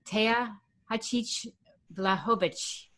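A woman's voice: medium pitch, slow pace, pushed and bright. Friendly woman college radio host. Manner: friendly, short encouraging replies. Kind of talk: radio interview.

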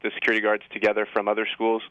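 Low-voiced man, clear and steady. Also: very fast, no echo, phone-quality sound. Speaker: high school assistant principal guest. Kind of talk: interview answers.

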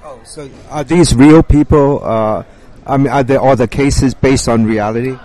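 Mellow-voiced male interviewer, halting delivery. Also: mid-range voice, rough recording. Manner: halting, searching for words. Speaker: interviewer and show host. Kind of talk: informal interview questioning.

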